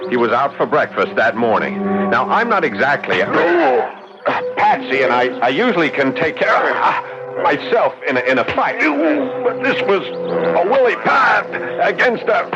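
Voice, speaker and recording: A man's voice: on the high side, very animated, unhurried, vocal fry, heavily acted. An actor playing a hapless young man in a radio drama, wry and hesitant, stammering a little. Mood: wry, rueful. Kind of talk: radio drama acting and narration. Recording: dull old radio recording, loud music behind.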